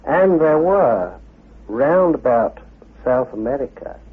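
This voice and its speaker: Slow, husky man lecturing with lively pitch. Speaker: author and head of an esoteric order. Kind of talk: measured expository lecture. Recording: dull lo-fi radio recording.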